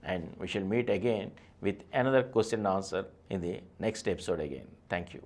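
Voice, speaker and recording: A man's voice: deep voice, brisk, heavy background noise. A man with an Indian accent reads a scripted question-and-answer text aloud as a presenter, in a formal, declamatory way. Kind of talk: scripted read-aloud, expository.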